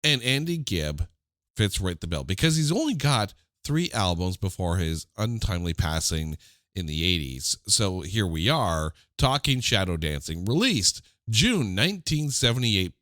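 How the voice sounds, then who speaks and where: A man's voice: sits low, big pitch swings, moderate pace, fairly bright tone, no room sound, clean studio sound. A man with a stuffy, slightly nasal voice hosting a casual music podcast, joking with listeners in mock-dramatic asides. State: congested, under the weather. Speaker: podcast host.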